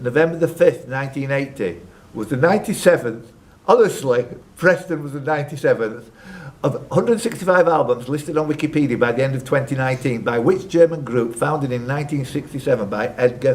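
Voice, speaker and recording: A man's voice: mid-range voice, animated, normal speed, few pauses. A man hosting a live quiz in a club room, reading out questions and chatting with the contestants between them. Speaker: quiz host and question reader. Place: social club room with ambient noise behind. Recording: noisy live room recording.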